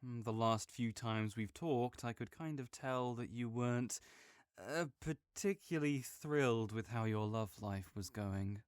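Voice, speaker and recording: Guy voicing a chill caring buddy in an audio rp, smooth clear voice, gentle and a bit jokey, dry close mic. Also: medium pitch, measured pace, no room sound, lightly acted. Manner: gentle, reassuring, softly joking. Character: caring, easygoing young male friend. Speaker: friend comforting the listener. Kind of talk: audio roleplay spoken to the listener.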